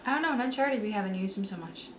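A woman's voice: medium pitch, expressive, rapid-fire, very noisy. A woman in her thirties giving casual reaction commentary as a co-host. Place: indoor room, seated before a backdrop. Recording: roomy, echoing room sound.

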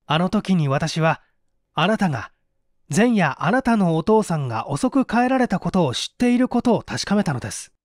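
A man voicing an earnest, composed young gentleman, formal and measured, in an audiobook drama. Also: high-pitched, big pitch swings, no room sound, clean studio sound. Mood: grave.

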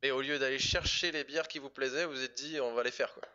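A man's voice: medium pitch, steady tone, fast talker, pushed and bright, clear, resonant tone. A man in his thirties hosting a casual live interview, informal and joking in the way he talks. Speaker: live stream host, interviewer. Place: indoor room, video call. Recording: dull, lo-fi video call audio.

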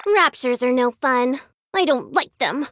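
A woman's voice: sits high, lively intonation, measured pace, fairly bright tone, dry recording, studio quality, heavily acted. An actress voicing a cute, playful young girl soldier with a sadistic streak in an anime-style mobile game, girlish and sweetly teasing. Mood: pouty, subdued. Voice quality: girlish, cutesy.

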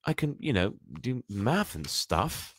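Man acting a bewildered boy, higher and lighter. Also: very animated, slow, a bit bright, husky, dry recording, studio quality, heavily acted. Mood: puzzled. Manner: hesitant, questioning. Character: young boy, polite, bewildered and hesitant.

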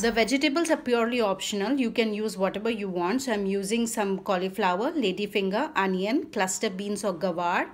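A woman's voice: medium pitch, slight background noise. A woman with an Indian accent giving casual step-by-step recipe narration as a cooking channel host.

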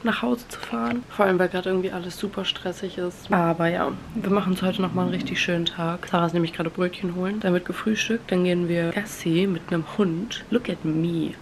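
A woman's voice: on the low side, fairly even intonation, quick pace, few pauses, background noise. Young woman, twenties, casual vlog chat, slightly congested, upbeat, handheld camera. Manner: chatty, upbeat, mixes in English words. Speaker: vlogger. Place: small room at home. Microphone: handheld compact camera at arm's length.

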